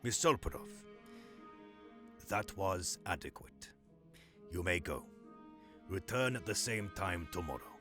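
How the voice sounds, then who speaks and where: A cold male studio boss in an audio drama. His deep voice is clipped, formal and dismissive, with music clearly behind it. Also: lively intonation, takes it slowly, pauses often.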